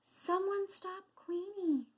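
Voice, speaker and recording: A woman voicing a quiet, timid male quail in a children's storybook, soft and polite. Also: very high voice, takes it slowly, voice pushed up. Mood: distressed. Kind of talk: children's storybook read-aloud.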